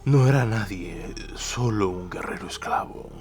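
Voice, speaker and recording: A man voicing a sinister masked killer champion in an audio drama, dismissive and menacing in a breathy whisper. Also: on the low side, a little slow, darkish tone, faint music behind, lightly acted. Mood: cold dismissal. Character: sinister male killer champion in stolen-skin mask. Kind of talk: audio drama character acting.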